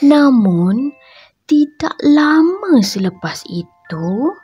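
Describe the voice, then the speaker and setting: A woman reading a bedtime story for children, in a slow, gentle, sing-song way with a breathy voice. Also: high-pitched, big pitch swings, faint music behind.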